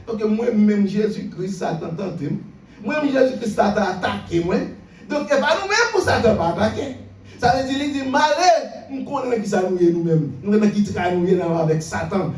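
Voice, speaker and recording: A male pastor with a Haitian accent delivers a fervent sermon in a very high voice, hammering on repeated phrases and dropping from shouts to near-mutters. He is heard from a distance in a reverberant church hall, with faint music behind him. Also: a little hiss or hum. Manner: fervent, repetitive preaching, swings between shouted bursts and low murmurs.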